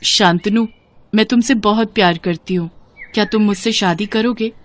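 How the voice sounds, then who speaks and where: A woman voicing a proud young female serpent bride in a cartoon, with an expressive delivery. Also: medium pitch, moderate pace, natural voice. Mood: loving. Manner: expressive, mocking when refusing.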